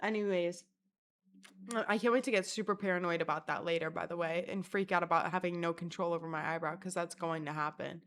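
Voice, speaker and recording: A woman telling a rambling, profane story in a casual vlog, mocking herself and breaking into loud laughter. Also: on the low side, fairly quick. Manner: self-mocking and animated, bursts of loud laughter. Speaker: vlogger.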